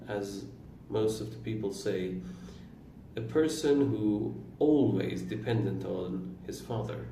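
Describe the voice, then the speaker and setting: A man in his twenties whose first language is Turkish, talking slowly about his own life in a halting way, pausing and correcting himself. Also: on the low side, mellow. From Iran. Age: 20s. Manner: slow, halting, with pauses and self-corrections. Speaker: vlogger. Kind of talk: reflective personal monologue. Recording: roomy, distant mic with faint room noise.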